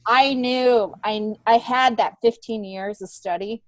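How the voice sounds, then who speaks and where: A middle-aged woman speaks as a guest in a relaxed, candid interview. She tells stories in an animated, conversational way, slipping into quoted dialogue. The sound is dry, with very little room in it. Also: a little high, slow, no room sound, rough recording. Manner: animated storytelling, acts out remembered dialogue. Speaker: comedian and TV worker, podcast guest. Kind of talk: casual, candid interview chat.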